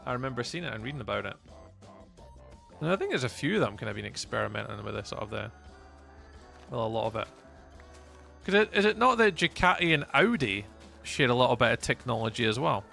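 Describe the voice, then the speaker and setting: Scottish male streamer, gravelly and bright, chatty, rambling, lots of ums. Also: mid-range voice, very animated, quick pace, soft background music. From Scotland. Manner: rambling and chatty, many fillers and restarts. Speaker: live streamer. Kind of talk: casual chat with live chat while gaming.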